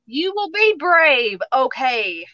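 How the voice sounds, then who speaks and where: A woman's voice: high-pitched, big pitch swings, slow, pushed and bright, no room sound, muffled recording. A woman in her thirties with a Southern US accent, chatting and joking casually while hosting a craft livestream. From Tennessee, USA. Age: 30s. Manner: chatty, joking, self-mocking.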